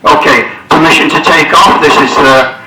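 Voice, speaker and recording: A man's voice: a little high, moderate pace, echoey room, very noisy, close to an everyday voice. A man playing a pilot calling the tower. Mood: playful. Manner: loud, repeated radio call-outs. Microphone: headset microphone.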